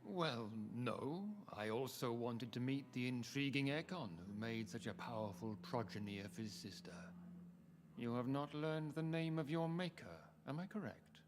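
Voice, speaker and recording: Male actor as centuries-old British vampire club founder for a game: imperious, measured, ceremonious, mid-pitched voice. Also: close to an everyday voice. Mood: courteous, imperious. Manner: formal, grandiloquent, measured. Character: ancient aristocratic male vampire lord, founder of a secret club. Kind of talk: scripted video game dialogue.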